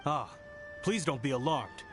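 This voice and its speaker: A man's voice: medium pitch, expressive, measured pace, a bit bright. A man playing a courteous, dutiful guard in a video game, formal and reassuring. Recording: faint game music behind.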